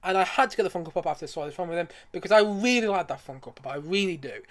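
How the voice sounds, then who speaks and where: A man with a high voice talks at a rapid clip as he hosts a casual live stream. He is enthusiastic and rambles along, circling back to repeat his points. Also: expressive. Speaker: streamer showing a collection.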